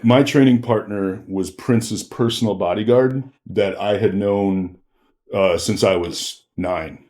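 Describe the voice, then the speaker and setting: A man's voice: fairly low pitch, fairly even intonation, slow pace, a little mellow. A middle-aged man with an American accent telling anecdotes casually as a podcast guest, acting out bits of dialogue.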